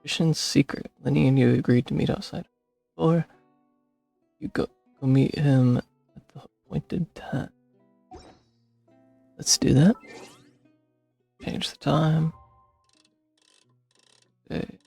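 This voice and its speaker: A man with a gravelly, husky voice giving casual, rambling gameplay commentary, muttering to himself on a close mic. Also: on the high side, steady tone, slow, long pauses, a little mellow, no echo. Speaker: video game streamer. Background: game music.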